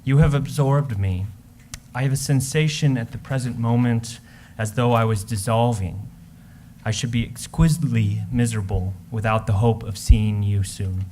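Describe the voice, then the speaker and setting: A man's voice: mid-range voice, moderate pace, mellow, a little hiss or hum, natural voice. Man playing an ardent lovesick Romantic poet. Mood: yearning. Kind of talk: readers theater love letter.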